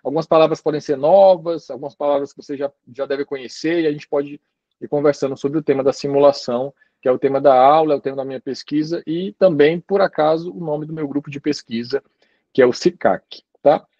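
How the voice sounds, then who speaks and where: A man gives a friendly, conversational university talk over a video call. His voice is mellow and darkish, and the sound is dry and a bit muffled. He keeps checking in with his listeners as he goes. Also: on the high side, brisk, no echo. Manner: chatty, relaxed, tags sentences with "né" and "tá". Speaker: guest lecturer. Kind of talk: informal university lecture.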